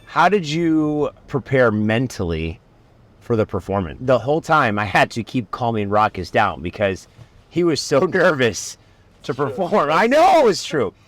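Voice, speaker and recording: A man from Ohio, a magician guest chatting casually in a car, relaxed and teasing. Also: mid-range voice, a little slow. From Cleveland, Ohio. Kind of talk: casual chat.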